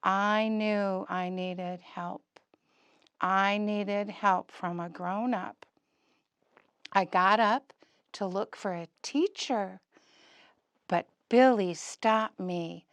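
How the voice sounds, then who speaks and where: A woman's voice: medium pitch, steady tone, drawn-out words, pauses often, clean tone, no echo. A woman voicing an earnest young boy puppet, telling his story in simple, childlike words for a children's puppet show. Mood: earnest, sad.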